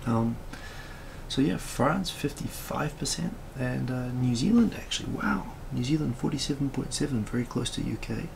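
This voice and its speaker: Man, raspy and heavily gravelly, loose rambling live-stream host, steady hiss behind. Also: mid-range voice, measured pace. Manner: rambling, many fillers and asides. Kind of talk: casual live-stream chat reading comments.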